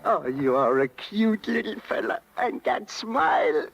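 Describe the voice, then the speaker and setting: A kindly old craftsman, dreamy and fond. He speaks slowly with lively rises and falls, in an old radio drama with music behind. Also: sits high, creaky, full character voice. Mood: tender, wistful. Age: older. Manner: doting, chuckling, musing. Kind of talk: 1930s radio acting.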